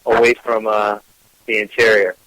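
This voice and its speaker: A man's voice: on the low side, little pitch movement, normal speed, a bit bright, no room sound, low-quality recording. Easygoing man with a Gloucester accent. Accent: Gloucester, Massachusetts. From Gloucester, Massachusetts. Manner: easygoing, rambling storyteller. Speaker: guest. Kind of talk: casual interview chat.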